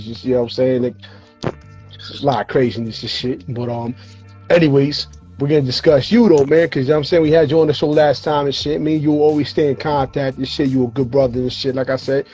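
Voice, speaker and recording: A man's voice: mid-range voice, little pitch movement, fast, warm tone, dull audio, faint music behind. A man hosting a casual hip-hop interview show over a video call, tired and losing his voice, talking in a laid-back, slangy way with plenty of fillers and cursing. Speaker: show host.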